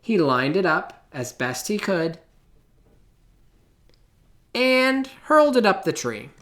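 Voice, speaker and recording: A man reading a children's picture book aloud in an expressive, theatrical way, with deliberate pauses. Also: high voice. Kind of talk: children's storybook read-aloud.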